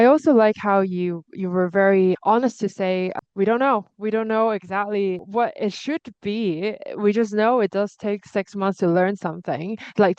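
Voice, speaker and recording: A woman's voice: medium pitch, darkish tone, clear, resonant tone, no room sound. A woman whose first language is Cantonese, hosting a discussion and sharing her reflections thoughtfully, with frequent restarts. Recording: dull lo-fi sound.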